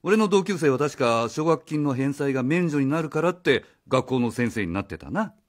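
A man's voice: mid-range voice, sing-song, normal speed, natural voice. A man playing a blunt, cynical needler in a radio drama, arguing with sarcasm.

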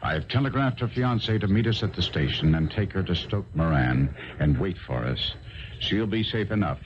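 Gentleman detective, radio drama: lowish animated voice, shrewd, polite, self-assured. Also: normal speed, noisy background. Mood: brisk, assured. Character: confident, shrewd gentleman detective, smooth and probing. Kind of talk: 1970s radio drama acting. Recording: dull 1970s radio recording.

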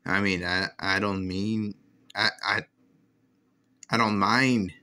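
A man's voice: lowish voice, steady tone, slow pace, sharp tone, clean tone. A man giving casual, slangy, exclaiming commentary as he films a shortwave radio scan on a handheld phone, easily amused. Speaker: radio hobbyist filming a scan. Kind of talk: casual excited commentary to camera. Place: indoors at a desk. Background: shortwave radio playing.

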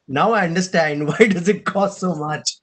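Guy on a zoom call running a panel, kinda husky, higher voice, keeps saying right?. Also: moderate pace. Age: middle-aged. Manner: animated, gesturing, tag question "right?". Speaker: panel moderator. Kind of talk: casual panel discussion. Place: room at home with blinds and shelves. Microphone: video call webcam.

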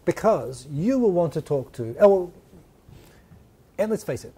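Brief: male host, middle-aged, husky, dark, playful interviewer. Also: high voice. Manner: lively, joking, quick asides. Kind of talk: casual studio interview. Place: small studio set, seated on a sofa. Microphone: lapel mic.

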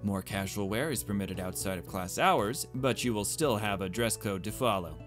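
Visual novel headmistress, male reader: formal, courteous, chirpy with a hint of threat, lowish register. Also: moderate pace, soft background music, natural voice. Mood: prim, formal. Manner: prim, formal, over-polite. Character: polite, chirpy creature headmistress with veiled menace.

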